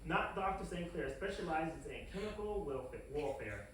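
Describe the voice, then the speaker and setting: A man playing a smug, greedy, wicked scientist in an animated comedy table read, stammering and brushing others off. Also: on the high side, normal speed. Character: smug wicked male mad scientist, greedy, childishly defensive, scheming. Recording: roomy echo, distant mic, faint hiss.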